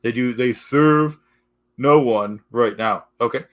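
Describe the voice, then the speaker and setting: A man hosting a casual live stream, halting and repetitive, losing his voice, forever addressing "folks". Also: mid-range voice. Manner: repetitive, keeps saying "folks" and "okay"; halting, restarts often.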